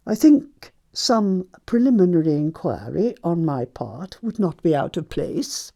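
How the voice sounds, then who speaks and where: An actress playing a haughty, imperious older aristocratic aunt in a stage comedy, pompous and deliberate. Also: female voice, lowish voice, lively intonation, gravelly, warm tone, full character voice. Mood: pompous disapproval. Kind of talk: theatrical stage comedy acting.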